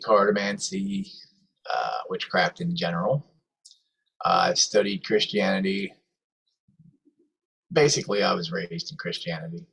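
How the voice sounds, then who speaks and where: A man giving a halting self-introduction as a guest student, with many pauses and fillers. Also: lowish voice, creaky, a bit bright, distant mic, low-quality recording. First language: not English (jokes "broken English"). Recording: voice from away from the mic.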